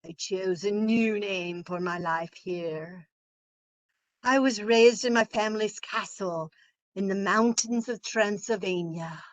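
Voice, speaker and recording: A woman voicing a mysterious vampire-like teacher with a put-on Romanian accent, slow and hushed. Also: medium pitch, close to an everyday voice.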